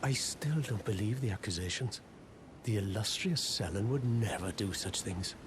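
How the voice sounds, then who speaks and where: An actor playing a humble, hapless apprentice sorcerer in a video game, apologetic, self-deprecating and hesitant. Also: male voice, fairly low pitch, expressive, normal speed, heavy background noise, natural voice. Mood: admiring, earnest. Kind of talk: video game character dialogue.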